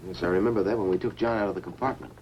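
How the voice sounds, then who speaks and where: A thoughtful male technical scientist with a fairly low voice, played by an actor. He reasons in a measured, analytical way in 1950s science fiction style. The old film soundtrack carries faint noise. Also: fairly even intonation, dull audio, natural voice.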